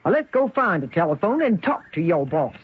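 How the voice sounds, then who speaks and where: An actress playing a folksy, forthright middle-aged widow from the American West in a radio drama, drawling homespun sayings and calling people honey. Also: female voice, lowish voice, big pitch swings, a little fast, husky, full character voice. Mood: warm, curious. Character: folksy, forthright Western rancher's widow, chatty and motherly. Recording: tinny, noisy old radio broadcast recording.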